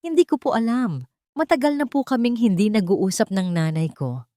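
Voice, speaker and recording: A woman's voice: on the high side, animated, normal speed, dark tone, no echo, clean studio sound, pitched above the performer's own voice, natural voice. A woman voicing a timid, polite young waitress, deferential and hesitant, in an audio romance story. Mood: tearful pleading.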